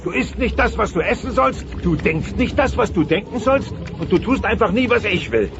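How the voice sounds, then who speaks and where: A blustering middle-aged dinosaur dad, high-voiced and swooping with theatrical flair. He is bossy and melodramatic, heard over music on a dull cassette-like recording. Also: sing-song, moderate pace, noisy background. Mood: indignant, blustering. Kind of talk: German-dubbed sitcom radio play.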